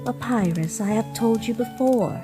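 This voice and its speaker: A woman voicing a gentle, motherly monster queen in a comic dub, speaking with formal, measured politeness. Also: on the high side, close to an everyday voice. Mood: warm, motherly. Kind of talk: comic dub character acting. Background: music.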